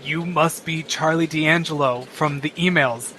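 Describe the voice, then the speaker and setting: A nervous young temp. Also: male voice, a little high, steady tone, sharp tone, slight background noise. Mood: awkward. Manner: hesitant, awkward, halting. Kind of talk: radio play acting.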